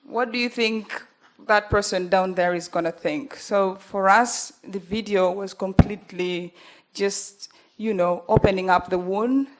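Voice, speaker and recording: A young woman with a Ugandan accent talks at a panel in an earnest, halting way. Her voice sits in a middle pitch, and she often stops on fillers before going on. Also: steady tone, poor audio. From northern Uganda. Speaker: journalist panelist. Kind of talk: panel talk.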